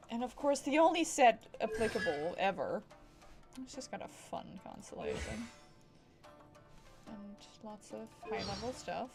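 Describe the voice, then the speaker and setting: A woman giving casual live gameplay commentary as a streamer, playful and rambling, with excited exclamations. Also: mid-range voice. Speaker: game streamer. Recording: game music behind voice. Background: video game music.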